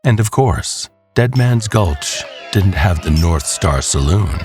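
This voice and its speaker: A man narrating a scripted story with measured, dramatic, drawn-out phrasing. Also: low-pitched, expressive, over music.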